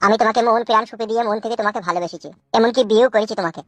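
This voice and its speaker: Man acting a pleading, excitable village husband. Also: sits high, rapid-fire, dry recording. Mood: coaxing. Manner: coaxing, theatrical, colloquial. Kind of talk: comic cartoon dubbing.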